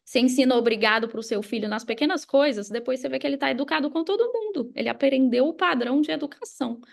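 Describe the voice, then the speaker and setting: A woman's voice: high voice, fast, vocal fry. A young adult Brazilian woman talking casually and motivationally as a podcast guest, emphatic and conversational. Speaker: podcast guest, digital marketing mentor. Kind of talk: casual motivational interview talk.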